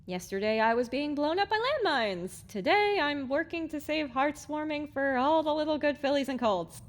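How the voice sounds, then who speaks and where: A woman as a high, lively young mare heroine. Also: moderate pace. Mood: earnest, wry. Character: spirited young mare heroine, earnest and wry. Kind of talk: audiobook drama acting.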